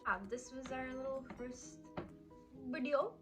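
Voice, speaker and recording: A woman's voice: high voice, little pitch movement, unhurried, music in the background. A woman in her early twenties chatting casually and teasing her husband in a Q&A vlog, with lots of "like" fillers. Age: 20s. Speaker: vlogger. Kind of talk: casual couple Q&A chat.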